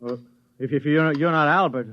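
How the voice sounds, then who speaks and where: An actor playing a fretful suburban husband with a hesitant, stammering manner in a 1950s radio drama. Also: male voice, a little high, moderate pace, natural voice. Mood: flustered. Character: flustered, fretful suburban husband, an ordinary man. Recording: dull vintage radio recording.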